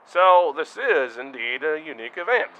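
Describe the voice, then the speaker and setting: A man's voice: fairly high pitch, very animated, moderate pace, bright, forceful tone. An actor playing an upbeat male radio field reporter who interviews a scientist in a chatty way. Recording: dull lo-fi recording.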